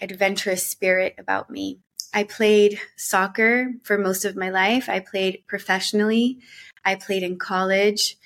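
A woman from Colombia speaks as a podcast guest, recounting her path in an earnest, reflective manner. She talks casually and leans on filler words as she thinks aloud. Her voice sits at an ordinary middle pitch. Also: fairly bright tone. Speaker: podcast guest, women's mentor. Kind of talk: casual conversational storytelling.

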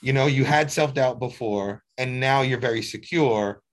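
A man's voice: mid-range voice, normal speed. A man hosting a casual podcast interview, asking probing questions with a teasing, joking manner. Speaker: podcast host and program mentor. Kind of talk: casual interview with students and guest.

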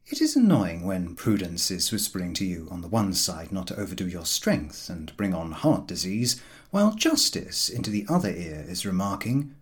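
A man reading a comic novel aloud as an expressive audiobook narrator. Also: sits low, moderate pace.

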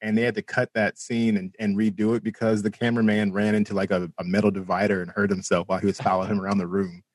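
A man's voice: fairly low pitch, flat delivery, quick pace, dry recording. A man talking casually about movies as a podcast co-host, rambling along and swearing freely. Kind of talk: casual movie discussion among friends.

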